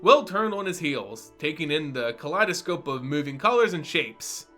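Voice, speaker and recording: A man giving an animated, expressive dramatic reading of a fantasy story as narrator. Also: a little high, moderate pace, soft background music. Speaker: narrator and reader.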